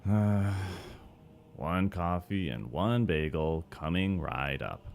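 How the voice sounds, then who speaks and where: Cartoon cafeteria worker, male actor: rock-bottom pitch, gravelly, weary, dragging pace, fed up with the job. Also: animated, slow, a little mellow, exaggerated voice. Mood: weary, resigned. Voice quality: deep, gravelly voice. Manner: weary, sighing, low-energy delivery. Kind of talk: animated series voice-over takes. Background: background music under the voice.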